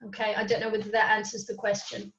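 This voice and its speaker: A woman's voice: mid-range voice, monotone, fast talker, a bit bright, reverberant, a little hiss or hum, low-quality recording. A woman whose first language is Hungarian, presenting a live webinar on coaching and checking in often with her listeners. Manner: explanatory, often checks in with "okay?".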